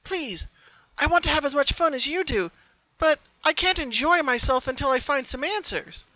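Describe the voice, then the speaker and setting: An earnest young pony girl, acted by a man for a storybook drama. The voice is pitched high and sounds troubled, with a pleading, hesitant delivery. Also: animated, normal speed, pushed and bright, no room sound, highs cut off, heavily acted.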